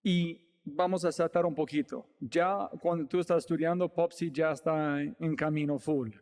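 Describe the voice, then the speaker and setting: A man hosting a podcast, asking his guest curious, upbeat questions in a relaxed interview. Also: fairly high pitch, moderate pace, in a large hall.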